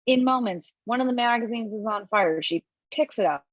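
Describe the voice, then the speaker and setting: A woman's voice: fairly high pitch, moderate pace, dry recording. Woman reading stage directions on a call. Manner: lively, expressive reading with small slips. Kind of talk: table-read narration. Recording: dull lo-fi, dry.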